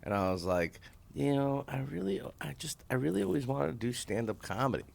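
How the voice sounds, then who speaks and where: A man's voice: mid-range voice, big pitch swings, fairly quick, gravelly, a little mellow. A comedian in his thirties, talking candidly in a hotel conference room and telling stories in a wry voice, with plenty of swearing. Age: 30s. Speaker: stand-up comedian guest. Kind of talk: casual chat, storytelling.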